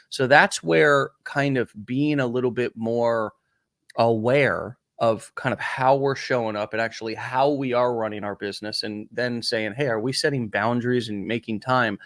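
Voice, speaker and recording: A man's voice: mid-range voice, fairly bright tone, dry recording, studio quality. A middle-aged man hosting a podcast interview, talking at length and often repeating words as he searches for his phrasing. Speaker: podcast host. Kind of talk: conversational interview.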